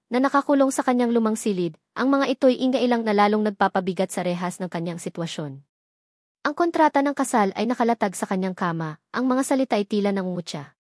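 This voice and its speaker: Woman, narrator, dramatic story reading, even delivery, dry close mic. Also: fairly high pitch, dry recording.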